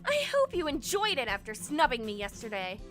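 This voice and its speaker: Visual novel schoolgirl , played by a woman: haughty, curt, very animated, high voice, music underneath. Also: brisk, full character voice. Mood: scornful. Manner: curt, scornful. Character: haughty, snappy schoolgirl, easily offended, hiding hurt. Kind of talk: visual novel game voice acting.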